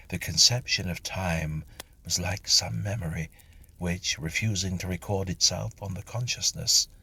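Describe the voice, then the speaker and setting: Deep, husky, animated male storyteller. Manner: theatrical, precise, storyteller delivery. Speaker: story narrator and reader. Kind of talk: expressive literary audiobook narration of a whimsical ghost story.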